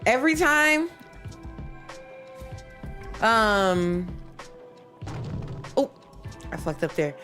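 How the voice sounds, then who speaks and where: A woman with a stuffy nose chatting casually with her viewers while she warms up at a game, rambling and repeating herself. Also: a little high. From Michigan. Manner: rambling, repeats herself, chats with stream chat. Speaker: livestreamer playing a speedrun practice.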